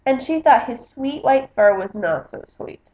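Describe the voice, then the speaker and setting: A woman's voice: high-pitched, lively intonation, unhurried, a little mellow. A woman book vlogger reading a picture book aloud in a casual, chatty style. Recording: slightly dull, roomy home recording.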